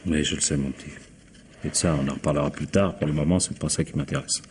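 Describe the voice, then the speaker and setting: An actor playing a sardonic, dogged police inspector in a French radio mystery, prodding with irony and drawn-out interjections. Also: male voice, rumbling low, fairly even intonation, darkish tone, a little hiss or hum. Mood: soft, insistent. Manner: ironic, prodding, drawling interjections. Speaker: actor in a radio crime drama.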